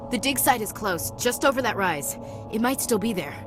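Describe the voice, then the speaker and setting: An actress playing a tough, dutiful young soldier in a video game, earnest and direct in a military way. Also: female voice, fairly high pitch, animated, moderate pace, over music, close to an everyday voice. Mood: focused, resolute. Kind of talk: video game cinematic acting.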